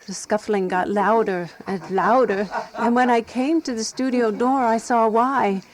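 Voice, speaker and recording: An actress playing an exasperated, devoted woman companion who narrates a radio drama murder mystery in a measured, wry way. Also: fairly high pitch, fairly even intonation, crowd noise, lightly acted. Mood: dry, reproachful.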